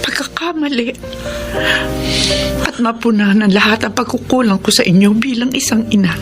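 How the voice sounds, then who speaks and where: A woman's voice: on the high side, normal speed, music in the background, close to an everyday voice. An actress playing a meek, sorrowful middle-aged mother in a Filipino radio drama, speaking in a hesitant, pleading way.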